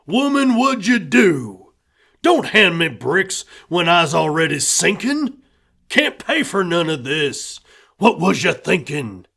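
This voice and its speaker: A storyteller voicing a gruff, suspicious rural father in a rhyming tale, speaking loudly with a scolding drawl. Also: medium pitch, lively intonation, slow, exaggerated voice. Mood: grumbling. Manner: loud, scolding, hard drawl.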